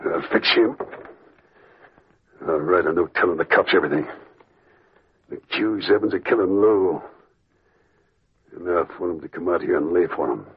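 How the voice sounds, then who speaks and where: A muffled old radio recording holds a hot-tempered, vengeful gangster. The voice is deep and extremely gravel-rough, with menace and suspicion in the delivery. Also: male voice, moderate pace, long pauses, exaggerated voice. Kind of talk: old-time radio drama acting.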